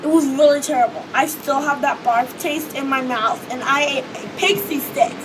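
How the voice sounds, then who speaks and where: Hoarse teenage girl vlogging on a windy balcony. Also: sits high, moderate pace. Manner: chatty, rambling with many fillers. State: hoarse, losing her voice. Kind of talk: casual vlog chat. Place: outdoor hotel balcony above a beach. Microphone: phone or webcam close in front. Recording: wind and wave noise outdoors. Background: ocean waves and beach noise.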